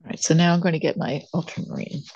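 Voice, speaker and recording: An older woman teaching watercolor, thinking aloud as she demonstrates and pausing between phrases while she works. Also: low-pitched, gravelly, darkish tone, husky, no echo. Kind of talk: real-time demonstration narration, thinking aloud. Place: indoors at a painting table. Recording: dull, muffled lo-fi sound.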